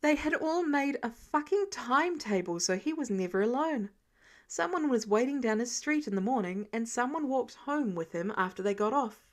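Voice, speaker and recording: A woman reading fiction aloud in an expressive audiobook narration style. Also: on the high side, moderate pace. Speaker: fan fiction reader.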